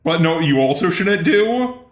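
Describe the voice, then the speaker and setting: A man's voice: a little high, expressive, a little slow, reverberant. A man's synthetic text-to-speech voice delivering scripted video commentary.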